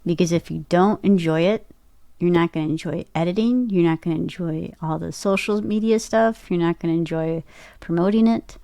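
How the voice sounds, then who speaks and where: A woman's voice: lowish voice, a little fast, dark tone, no echo, studio quality. A woman speaking casually as a guest in an interview about her own work. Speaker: podcast guest, voice actor and podcaster.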